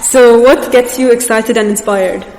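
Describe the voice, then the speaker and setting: A woman's voice: a little high, steady tone, measured pace, darkish tone. A young woman asking questions as the interviewer in a casual interview. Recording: echoey reverberant room with background noise.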